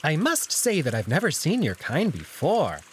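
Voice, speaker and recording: A man's voice: a little high, wildly swooping pitch, normal speed, a little hiss or hum, pitched above the performer's own voice. A man voicing a sly anthropomorphic jackal noble who talks in a mock-polite, taunting way for a visual novel game. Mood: mock-polite, probing.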